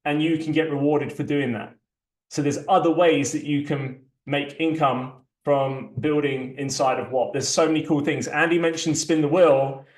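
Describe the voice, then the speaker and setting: A man's voice: fairly high pitch, fairly even intonation, moderate pace. A man hosting a community launch, talking casually through a shared screen, loose and chatty with many fillers and restarts. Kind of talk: casual live walkthrough, screen sharing. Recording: echoey room sound.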